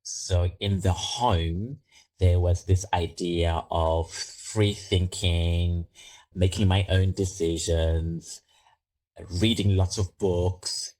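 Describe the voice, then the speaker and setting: A man from Zimbabwe with a very low, deep voice speaks unhurriedly, weighing each word. He sounds middle-aged and reflective as he answers an interviewer's questions. The pace stays slow and measured throughout. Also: fairly even intonation, darkish tone, rough recording. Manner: slow, thoughtful storytelling. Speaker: podcast guest.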